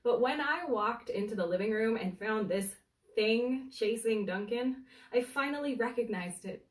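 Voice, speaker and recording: A woman reads a humorous story aloud as a storyteller, in a deliberate, dramatic way with suspenseful pauses. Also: a little high, lively intonation. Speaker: bookseller storyteller reading aloud. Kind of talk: animated bedtime story reading of a humorous book. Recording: roomy, echoey, distant from the mic.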